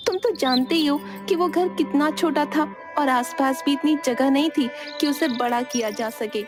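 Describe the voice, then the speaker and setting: A woman voicing a patient, sensible wife in a cartoon, calm and reasoning. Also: high voice, normal speed, lightly acted. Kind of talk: cartoon voice acting. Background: background music.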